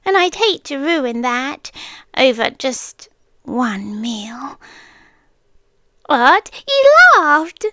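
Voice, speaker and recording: A woman's voice: sits high, sing-song, extremely slow, long pauses, bright, forceful tone, no echo, clean studio sound, heavily acted. A British woman playing a playful, tender, possessive young forest monster girl in an ASMR roleplay, teasing and intimate, often slipping toward a whisper. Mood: wistful, tender.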